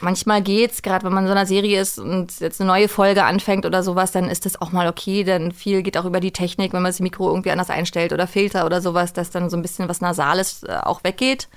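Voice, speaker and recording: A woman's voice: on the low side, flat delivery, quick pace, hardly any pauses, smooth. A middle-aged woman, chatting casually and talkatively as a voice actress being interviewed. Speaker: guest, voice actress and dubbing director. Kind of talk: casual interview chat, telling work anecdotes.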